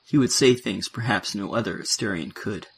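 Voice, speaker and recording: Male narrator, low-pitched, husky, scripted audio drama storytelling. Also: normal speed. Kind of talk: scripted narration of a science fiction audio play.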